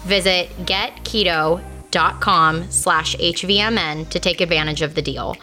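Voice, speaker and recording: A woman's voice: lowish voice, a little slow, pushed and bright, soft background music. A woman reading a scripted sponsor message in an upbeat, friendly way. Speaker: ad reader.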